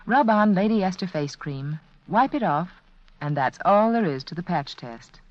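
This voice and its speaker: A woman gives a persuasive 1940s radio beauty pitch. Her voice is on the low side with very lively intonation. The old recording is somewhat dull. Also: normal speed, no room sound. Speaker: beauty product spokeswoman.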